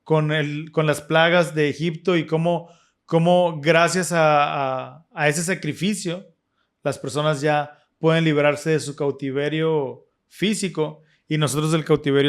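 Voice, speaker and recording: A man's voice: on the high side, fairly even intonation, unhurried, clean tone. A man hosting a scripture study discussion, speaking earnestly with fillers and restarts. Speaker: podcast host.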